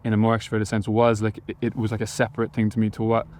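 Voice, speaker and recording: A young Irish man in an interview, his voice on the low side. He answers haltingly, piling up fillers and false starts as he thinks aloud. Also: monotone, darkish tone, slight background noise. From Ireland, Galway. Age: young adult. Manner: hesitant, many fillers and restarts. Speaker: musician guest.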